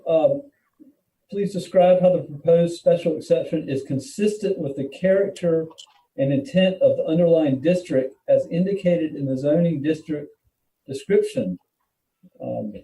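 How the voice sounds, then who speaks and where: Male board chair, courteous and procedural, very dark tone, off-mic in an echoey room. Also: a little high, distant mic. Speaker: board chair running a hearing. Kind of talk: formal questioning at a public meeting.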